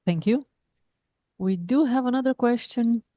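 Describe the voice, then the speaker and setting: Polite woman moderator, mellow tone. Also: fairly high pitch, measured pace, dry recording. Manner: brief and polite. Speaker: event moderator.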